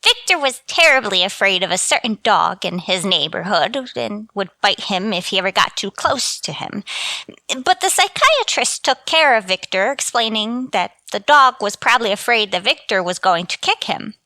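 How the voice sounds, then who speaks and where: A woman plays a chirpy, absent-minded landlady in a radio comedy. Her voice is bright and lilting, leaping around in pitch in a motherly, dithery way. The recording is close and dry. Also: very animated, normal speed, dry recording. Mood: earnest, scatterbrained. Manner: sing-song cheer, dithery and absent-minded. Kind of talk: scripted radio sitcom acting.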